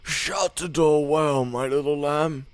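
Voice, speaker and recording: A man's voice: on the high side, steady tone, slow, smooth, dry recording, voice pushed up. A man voicing a sly, hungry male wolf in a storybook, crafty and wheedling. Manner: crafty, wheedling, rising to loud growls. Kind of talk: storybook read-aloud.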